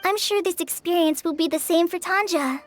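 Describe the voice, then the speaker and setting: A gentle young traveler. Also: female voice, very high-pitched, brisk, faint music behind. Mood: reassuring. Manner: gentle, reassuring, flustered when caught. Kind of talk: game voice acting.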